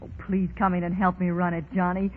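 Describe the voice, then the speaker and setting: A woman's voice: on the low side, flat delivery, fairly quick, natural voice. An actress playing a worldly, cynical but fond woman racketeer, with a wry streetwise manner in 1930s radio drama style. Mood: coaxing. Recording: very muffled, noisy 1930s radio recording.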